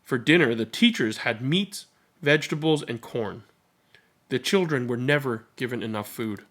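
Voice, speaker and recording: A man's voice: medium pitch, expressive, moderate pace, fairly bright tone. A man who reads children's stories aloud and talks casually as host. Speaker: storybook reader and channel host. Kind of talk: children's read-aloud narration with casual commentary.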